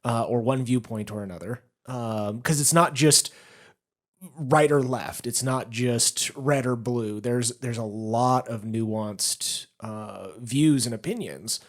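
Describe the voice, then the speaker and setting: A man's voice: medium pitch, slow. Man co-hosting a casual podcast. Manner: explains points at length, laughs easily. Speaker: podcast co-host.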